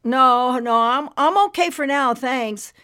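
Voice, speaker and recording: A woman's voice: high voice, slow pace, pushed and bright, clean tone, pitched above the performer's own voice, exaggerated voice. A woman reading a storybook voices a small, weak male cloud who is fading away, talking breathily and wheezing. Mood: relieved.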